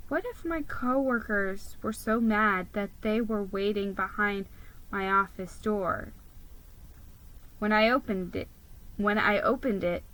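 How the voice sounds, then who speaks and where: A woman's voice: mid-range voice, bright, forceful tone, low-quality recording. A woman giving a dramatic scripted reading of a children's story as its narrator. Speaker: storybook reader.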